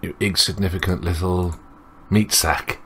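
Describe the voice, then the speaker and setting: A sneering inner voice from a visual novel, put on by a man, low in pitch and scornful. It belittles and mocks, with faint clicking noise behind it. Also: steady tone, a little slow, fairly bright tone. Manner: mocking, scornful.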